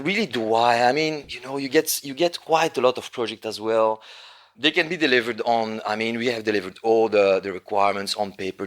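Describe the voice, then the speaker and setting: A man's voice: mid-range voice, a bit bright. A man from Congo with a French accent, a consultant guest talking casually in an interview, loose and chatty with lots of restarts and tag questions. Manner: loose and chatty, many restarts and "right?" tags.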